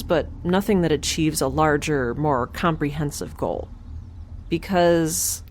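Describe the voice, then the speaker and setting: A woman's voice: deep voice, slight background noise. A woman playing a wry, chatty young space scientist, recording an informal, rambling log in an audio drama. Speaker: narrator and lead character.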